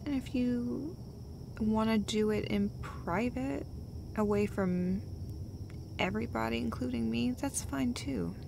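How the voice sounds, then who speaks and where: Woman acting soft, slow-talking, caring girlfriend. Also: a little high, gravelly, background noise, full character voice. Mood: gentle, reassuring. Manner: soft-spoken, often dropping to a whisper, hesitant. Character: caring, gentle, casually sweary girlfriend comforting her partner. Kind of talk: intimate roleplay audio acting.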